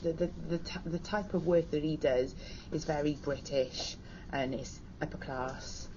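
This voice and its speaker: A woman with a broad Cardiff accent, plain-spoken and direct as a radio guest. Her voice is notably low for a woman. The broadcast sound is dull and slightly hissy. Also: fairly even intonation, unhurried. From Cardiff, Wales. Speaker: studio guest. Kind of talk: radio discussion. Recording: dull broadcast audio with faint hiss.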